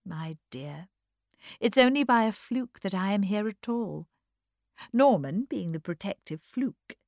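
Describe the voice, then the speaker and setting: A woman's voice: medium pitch, fairly bright tone, no room sound, studio quality. Woman acting a drawling, theatrical upper-class society lady. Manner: drawling, exclamatory, affected.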